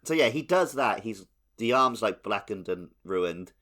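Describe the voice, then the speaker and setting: A man's voice: on the low side, lively intonation, a little slow, sharp tone. A man co-hosting a podcast, talking casually and conversationally about video games. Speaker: podcast co-host. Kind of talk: casual gaming podcast chat.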